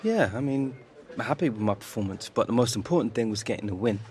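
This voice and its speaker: A man's voice: medium pitch, expressive, quick pace, darkish tone, natural voice. A young man with a British accent playing an easygoing footballer, talking in a casual, slangy way. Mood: composed. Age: young adult.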